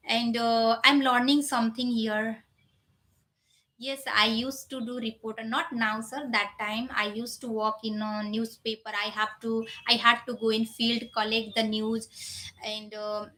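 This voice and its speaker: A woman's voice: fairly high pitch, pushed and bright, low-quality recording. A woman in her thirties, a native Nepali speaker, chatting casually as a live stream host in non-native English with loose grammar and frequent filler phrases. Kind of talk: casual live chat, answering viewers.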